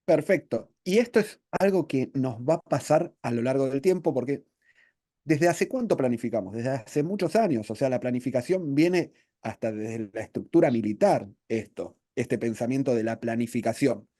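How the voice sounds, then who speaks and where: A man from Argentina with an Argentine accent, co-presenting a live webinar in a friendly, measured way. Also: medium pitch, expressive, warm tone, no room sound, clean studio sound.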